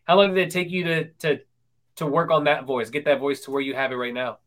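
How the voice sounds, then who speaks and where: A man's voice: a little high, fairly even intonation, quick pace, a bit bright. A man in his twenties hosting a casual interview, full of ums and restarts. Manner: hesitant, many ums and restarts. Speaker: podcast host.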